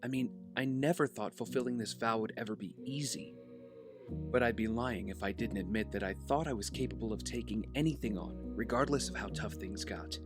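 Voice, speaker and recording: An earnest young vigilante hero, played by a male actor in an audio drama. He sounds sincere and burdened with doubt, at a middling male pitch. Music plays clearly behind him. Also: brisk, close to an everyday voice. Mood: troubled, reflective.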